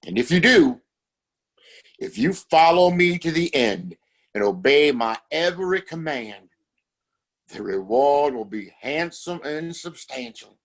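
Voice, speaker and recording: A man's voice: fairly high pitch, big pitch swings, unhurried. An actor playing a domineering, contemptuous father and town boss in a Western audio play, speaking in a formal, commanding way. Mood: stern bargaining. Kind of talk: Western audio drama acting.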